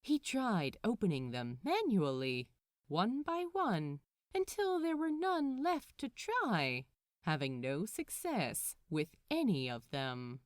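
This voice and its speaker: A woman narrates fiction with highly varied, lively intonation. She takes her time over each phrase, shaping it for drama. The sound is dry, with no room echo. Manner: animated, measured, dramatic phrasing. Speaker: podfic narrator. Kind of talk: expressive scripted storytelling narration.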